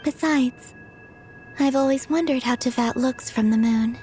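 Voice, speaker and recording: An actress voicing a gentle, ethereal young woman in a video game cutscene, speaking softly, calmly and wistfully. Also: high voice, a little slow, faint music behind. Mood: dreamy. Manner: soft, calm and wistful.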